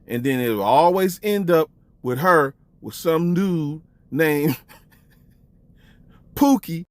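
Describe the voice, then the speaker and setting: A man delivers mocking commentary in a lively, slightly high voice. He takes his time, leaning on words and posing teasing, accusatory questions. The sound is dry and close. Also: dry recording. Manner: accusatory, teasing, drawn-out emphasis with rhetorical questions. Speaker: online commentator and storyteller. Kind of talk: mocking commentary, addressing the camera.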